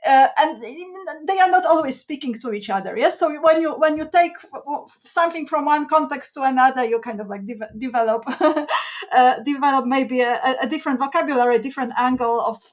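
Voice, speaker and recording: High-voiced halting woman from Poland. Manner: halting, many restarts and fillers, laughs often. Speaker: guest social scientist. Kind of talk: casual interview conversation.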